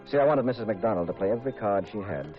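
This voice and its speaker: An actor plays a smooth male detective in a 1950s radio mystery. His voice sits low and his manner is dry and confiding. The sound is dull and vintage, with faint music in places. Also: lively intonation, racing, lightly acted. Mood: wry, confiding. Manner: casual, hesitant, wryly confiding. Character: wry, smooth private detective and married man.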